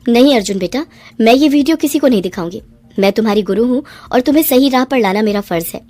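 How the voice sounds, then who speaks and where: A woman's voice: on the high side, animated, fast talker, dark tone, no echo, soft background music, pitched above the performer's own voice. Firm, earnest schoolteacher played by a woman. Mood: kind, firm. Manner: earnest, reproving. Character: firm, principled young female schoolteacher in a cartoon.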